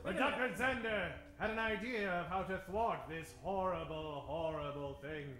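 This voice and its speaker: A man's voice: high voice, normal speed, bright, forceful tone. A man narrating as host of an old-time radio show with a theatrical announcer's delivery. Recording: roomy live stage sound.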